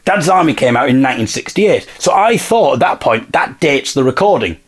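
A man's voice: mid-range voice, animated, fairly quick, husky. A man hosting an investigative series, informally thinking aloud to listeners in a musing, self-questioning way and repeating points for emphasis.

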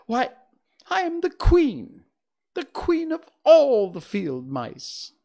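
A man doing a squeaky tiny mouse queen. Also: huge pitch swings, slow pace, vocal fry, mellow, exaggerated voice. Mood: indignant. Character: tiny indignant female field mouse queen.

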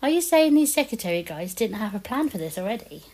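A woman's voice: medium pitch, sing-song, fast talker, dark tone. A British woman playing an incredulous, teasing wife in a bickering zombie comedy audio drama.

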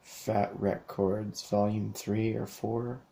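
A man's voice: lowish voice, little pitch movement, slow pace, creaky, warm tone, low-quality recording. A man in his thirties talking on his own in a rambling solo monologue, with lots of fillers, restarts and casual swearing. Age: 30s. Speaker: podcast host.

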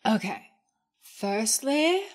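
Woman acting a slow, sarcastic, indignant woman. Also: on the high side, sing-song, full character voice. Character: indignant, sarcastic woman confronting someone who betrayed them. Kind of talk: audio drama acting.

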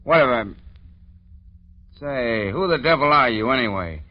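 Muffled vintage radio sound carries an actor as a blustering American tough guy. He is a gruff, suspicious small-time crook with a mid-pitched voice and slangy, belligerent delivery. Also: lively intonation, a little slow, natural voice. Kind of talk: 1940s radio drama acting.

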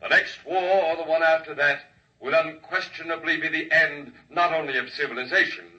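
Stately alien speaker, formal and bright-toned, muffled old radio sound from off-mic. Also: male voice, a little high, steady tone. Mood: solemn. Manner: formal, measured oratory. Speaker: alien speaker addressing a crowd. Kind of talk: 1950s radio drama acting. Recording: muffled 1950s radio broadcast, distant mic.

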